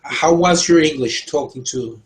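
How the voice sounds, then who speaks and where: A man's voice: a little high, measured pace. A man asking questions casually as an interviewer, rephrasing tentatively as he goes.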